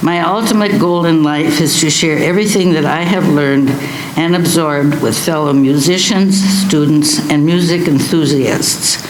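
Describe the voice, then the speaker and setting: Higher-pitched man reading in an echoey room. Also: fairly even intonation, unhurried. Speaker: award presenter. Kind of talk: prepared ceremony speech read aloud. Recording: echoey room, faint background noise.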